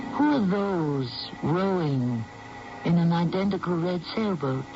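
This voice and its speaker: High-pitched, creaky male radio mystery host. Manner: measured, suspenseful, theatrical. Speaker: host and narrator. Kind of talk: dramatic scripted radio narration. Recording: dull, noisy old radio broadcast.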